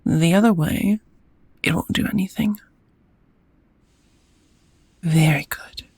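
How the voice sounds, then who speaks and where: A woman playing a gentle, courteous female vampire roommate in an intimate ASMR roleplay, speaking in a tender, softly formal, breathy half-whisper. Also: fairly low pitch, slow pace, dry recording, exaggerated voice. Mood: patient, amused.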